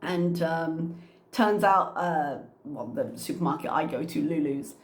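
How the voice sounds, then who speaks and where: A congested woman with a slight cough talks casually to her vlog audience, her voice sitting a little low. She rambles from one story to the next in a chatty, easygoing way. The sound bounces around an echoey room. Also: a little slow. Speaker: teacher and channel host.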